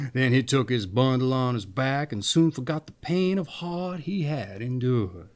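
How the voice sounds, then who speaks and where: A man narrating a fairy tale in an expressive, deliberate storytelling manner. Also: medium pitch.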